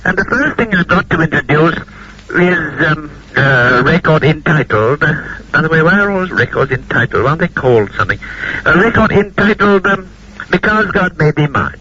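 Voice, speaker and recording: A man's voice: a little high, animated, normal speed, lightly acted. Man playing a bumbling 1920s radio announcer, muffled sound. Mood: sheepish rambling. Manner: hesitant, rambling, bumbling. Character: flustered, jokey 1920s radio announcer at the microphone. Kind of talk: recreated early radio broadcast. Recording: dull radio sound, crowd noise behind.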